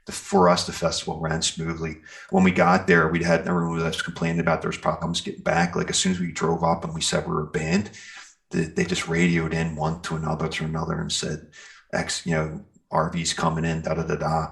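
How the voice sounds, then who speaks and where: A man's voice: a bass voice, fairly quick, creaky, a bit bright. A man chatting casually and enthusiastically about life in a band, in an informal, rambling way. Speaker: guest, metal band singer and guitarist. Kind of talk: casual chat, telling band anecdotes.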